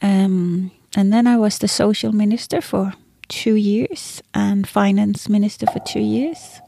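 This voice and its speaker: Middle-aged woman, native Danish speaker from Greenland, dark mellow tone, gravelly creak, interview guest. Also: medium pitch, fairly even intonation, unhurried. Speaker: interview guest, executive and former politician. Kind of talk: personal career account in an interview.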